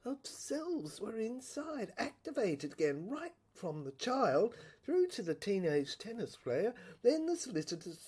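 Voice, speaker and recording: A woman playing a troubled, introspective character in an audio drama monologue, halting, repeating herself and searching for words, with sudden hushed drops. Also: a little high, very animated, breathy edge, exaggerated voice. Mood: searching, intense. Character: troubled introspective woman obsessively recounting her inner mental breakdown. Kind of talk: dramatic first-person monologue for a serial audio play.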